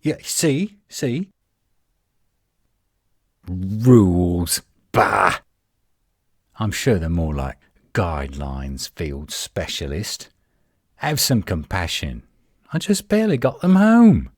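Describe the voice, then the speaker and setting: A man playing a defensive, flirtatious tsundere werewolf in a roleplay audio drama, indignant and sarcastic with a husky voice. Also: deep voice, huge pitch swings, slow, long pauses, dry recording, studio quality, heavily acted. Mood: exasperated.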